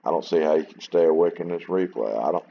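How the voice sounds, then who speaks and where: Very deep-voiced man narrating a wargame replay. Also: quick pace, creaky, breathy edge, lo-fi sound. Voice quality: very low voice. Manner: rambling, plainspoken, self-deprecating. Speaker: gameplay video host. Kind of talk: casual wargame replay commentary.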